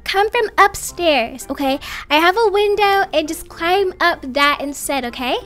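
Woman playing a high-voiced, wary nerdy schoolgirl. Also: animated, unhurried, fairly bright tone, soft background music, heavily acted. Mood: exasperated. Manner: hesitant, baffled teen slang.

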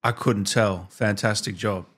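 A man's voice: on the low side, steady tone, unhurried, a bit bright. A man in his thirties hosting a casual interview, speaking close to a mic in a small streaming room. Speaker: interview host. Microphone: studio mic close up, with headset.

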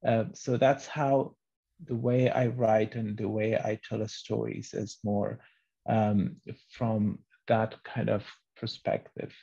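A middle-aged man from Afghanistan whose first language is Farsi speaks softly and haltingly, in a low, husky, mellow voice. He answers interview questions reflectively over a video call. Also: flat delivery. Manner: soft-spoken, halting. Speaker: interview guest, children's author. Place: small book-lined room at home. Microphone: video call, computer microphone. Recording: muffled, band-limited video call audio.

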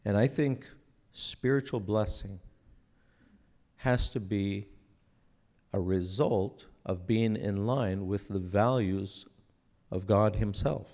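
A man in his forties, raised in Israel, giving an earnest, unhurried talk into a stand microphone on a church stage, often restarting his phrases. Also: fairly low pitch, dark tone. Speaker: speaker at a church breakfast. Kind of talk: sermon-like talk to an audience.

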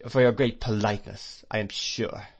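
A man's voice: medium pitch, fairly bright tone, highs cut off. A man voicing an icy, sarcastic spinster. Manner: slow, sardonic and haughty. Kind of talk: audiobook character reading.

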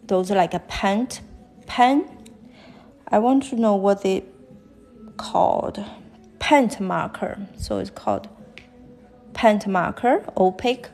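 A woman with a Chinese accent, whose first language is Chinese, talks haltingly while she draws fashion illustrations on a live stream. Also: medium pitch, sing-song, a little mellow, soft background music. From China. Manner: halting, thinking aloud while drawing. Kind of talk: live-stream drawing commentary. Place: at a desk, drawing on paper.

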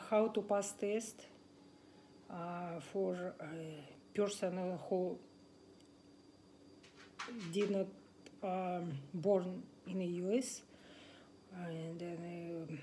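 A woman in her forties with a Russian accent, casually vlogging at a table, talking in a halting way with many fillers and restarts. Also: fairly low pitch, dark tone, slight background noise. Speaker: massage student sharing exam tips. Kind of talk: casual talking-head vlog. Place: indoors at a table in a home room.

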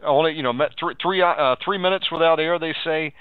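Guy preacher on radio, kinda high voice, exhorting, sounds like a phone line. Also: a little fast, clear, resonant tone, dry recording. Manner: exhorting, repeats phrases, asks leading questions. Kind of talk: live pastoral counselling on a radio show. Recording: tinny phone-like radio audio.

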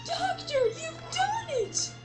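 A performer playing a boisterous, cruel young boy in an animated film, theatrical and taunting, with loud music behind. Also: very high voice, takes it slowly, full character voice. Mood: gleeful, scheming.

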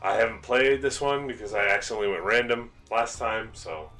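A man's voice: on the low side, brisk, echoey room, recorded from a distance, faint music behind. Chatty man exclaiming over a game. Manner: excited, chatty, exclaims often. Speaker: gaming video host. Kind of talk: let's play commentary. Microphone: headset.

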